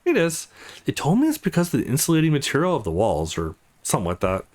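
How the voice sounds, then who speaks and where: A man plays a young woman from a visual novel, wry and teasing. The delivery is casual and a little defiant, with pitch that swings widely. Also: huge pitch swings, fast, a little mellow. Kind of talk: visual novel dialogue read aloud.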